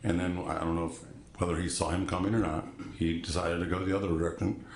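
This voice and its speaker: A man with a deep voice testifying as a witness in court, halting and polite, often restarting sentences. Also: level tone, echoey room, a little hiss or hum. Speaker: trial witness.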